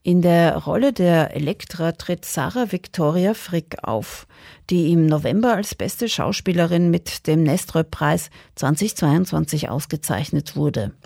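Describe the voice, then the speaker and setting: A woman presents on radio from a script. Her voice is fairly low and very mellow, with noticeable creak at the edges. The sound is close and dry. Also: lively intonation, unhurried, no room sound.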